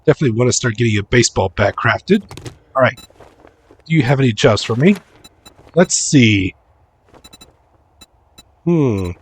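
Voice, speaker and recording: A man getting over a cold, a bit hoarse, giving casual gaming commentary, repeating words as he talks himself through each task. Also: mid-range voice, animated, a little slow, pauses often. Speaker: streamer, game commentator.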